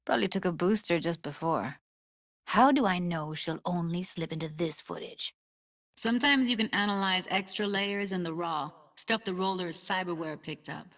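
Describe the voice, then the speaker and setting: A woman's voice: fairly low pitch, normal speed, fairly bright tone. An actress voicing a streetwise young techie woman in a cyberpunk video game, talking in a casual, slangy, wry way. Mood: focused, explaining. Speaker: video game character actor. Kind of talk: naturalistic game voice acting.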